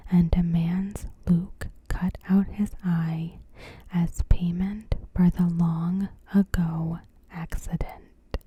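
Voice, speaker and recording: Very slow, breathy whispering ASMR woman. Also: lowish voice. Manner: soft-spoken, unhurried, careful phrasing. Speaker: ASMR creator. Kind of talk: ASMR, scripted episode recap narration.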